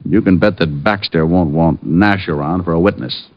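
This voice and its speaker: An actor playing a wry, confident private detective in an old radio drama, speaking and narrating in a dry, clipped manner. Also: male voice, sits low, moderate pace. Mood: confident persuasion. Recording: muffled old radio recording with music.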